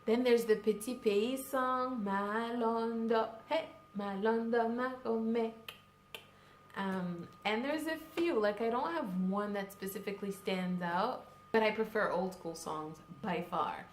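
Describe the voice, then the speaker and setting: A woman's voice: on the high side, measured pace, roomy sound, a little hiss or hum. A young woman from Cameroon, chatting casually to camera with lots of "like" and "you know". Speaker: vlogger. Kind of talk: casual vlog chat.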